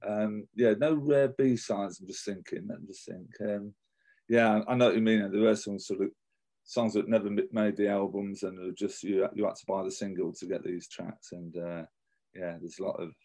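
A man's voice: lowish voice, steady tone, moderate pace, dark tone. A man who plays in a touring band, answering interview questions hesitantly and thinking aloud. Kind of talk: casual interview.

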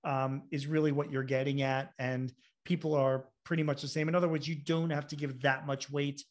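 A man's voice: a little high, little pitch movement, brisk. A man hosting an interview, asking long, rambling questions full of fillers. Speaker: interview host. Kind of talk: interview conversation.

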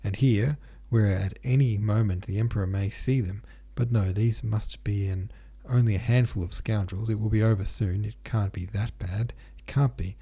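A man's voice: on the low side, fairly even intonation, moderate pace, few pauses, dark tone, slightly rough. A man voicing an earnest, anxious young hussar officer in an audiobook, urgent and questioning. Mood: anxious resolve.

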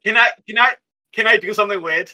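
Very bright, higher-pitched man playing a game. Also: fast talker. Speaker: tabletop game player. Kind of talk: casual improvised game chat.

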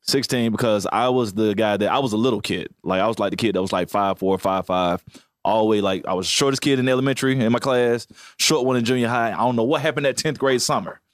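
A man co-hosting a talk show, telling stories about his teens in a fast, animated way. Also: on the low side, dry recording, studio quality. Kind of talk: casual chat.

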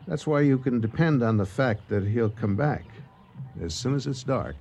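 An actor playing a grim, determined lion hunter in a radio drama, terse and commanding. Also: male voice, medium pitch, animated, normal speed, darkish tone, soft background music, close to an everyday voice. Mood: grim, matter-of-fact. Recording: noisy radio drama recording.

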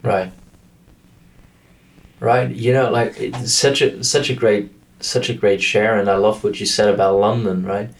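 Male interview host, lowish voice, far from the mic, lots of short "right"s. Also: fairly even intonation, a little slow, long pauses. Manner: frequent short affirmations, warm praise. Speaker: podcast host. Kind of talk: interviewer, brief affirmations. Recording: distant from the mic.

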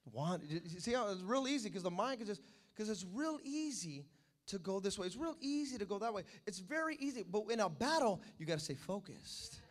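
A man preaching a sermon as pastor, animated, addressing the congregation with call-and-response asides. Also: high-pitched, a little fast.

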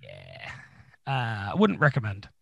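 A man co-hosting a podcast, chatting casually with dry jokes, his voice husky after being ill. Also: lowish voice, wildly swooping pitch, a little slow, fairly bright tone, no room sound. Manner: dry joking, rambling. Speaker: podcast co-host. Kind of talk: casual chat.

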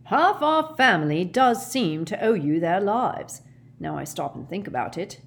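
Woman as a choked-up, halting father. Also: fairly low pitch, big pitch swings, gravelly, full character voice. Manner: constricted, halting, sincere. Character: grateful, restrained middle-aged father.

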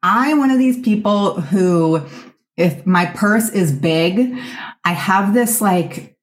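A woman's voice: mid-range voice, slow pace, breathy edge. A woman hosting a podcast, reading an intro and then interviewing a guest in an earnest, encouraging way. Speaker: podcast host.

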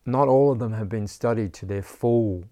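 Male herbalist, low gravelly voice, dry close mic, rambling casual talker. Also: moderate pace, warm tone, no room sound. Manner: rambling, repeats words, says "you know" often. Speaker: herbalist teacher. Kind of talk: casual interview chat.

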